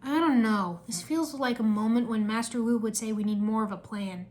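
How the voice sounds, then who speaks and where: A woman's voice: fairly high pitch, normal speed, a little mellow, close to an everyday voice. A woman voicing a decisive young male ninja leader in a storybook, commanding and urgent. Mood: cautious.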